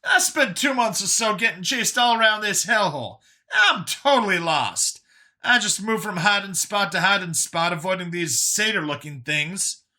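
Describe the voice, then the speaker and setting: A man voicing a folksy, excitable older fugitive who lives in a youthful body, rambling as he talks in an audiobook story. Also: high-pitched, moderate pace, pitched above the performer's own voice, heavily acted. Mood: excited.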